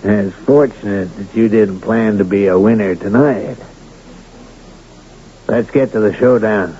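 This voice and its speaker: An American actor playing a crooked, domineering town boss and gambler in a Western radio drama, with rough colloquial talk. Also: male voice, on the low side, a little slow, warm tone, close to an everyday voice. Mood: bossy, impatient. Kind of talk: old-time radio drama acting. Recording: muffled, noisy old radio recording.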